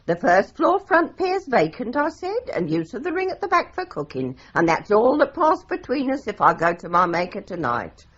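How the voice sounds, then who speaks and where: A talkative older landlady who runs on with stern advice. Her voice is breathy and swoops up and down in pitch. The broadcast recording sounds dull and lo-fi. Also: normal speed, few pauses, full character voice. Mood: chatty. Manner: chatty, lively, runs on with advice. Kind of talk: radio drama acting.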